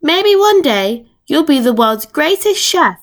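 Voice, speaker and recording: A woman's voice: sits high, big pitch swings, measured pace, heavily acted. A woman voicing a cheerful, affectionate and playful mother from a children's storybook. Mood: doting, playful.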